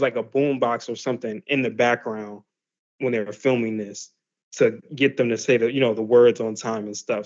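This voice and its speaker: A man's voice: mid-range voice, darkish tone, highs cut off. A man teaching a class in a loose, conversational way, full of fillers and restarts. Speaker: class instructor. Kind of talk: casual explanatory lesson.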